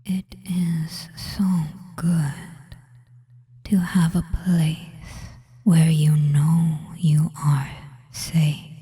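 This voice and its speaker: A man voicing a soothing, dominant male witch in a hypnosis roleplay, murmuring lulling commands in a breathy whisper. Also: fairly high pitch, level tone, mellow, full character voice. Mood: soothing, possessive. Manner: very slow, lulling, murmured commands with long pauses.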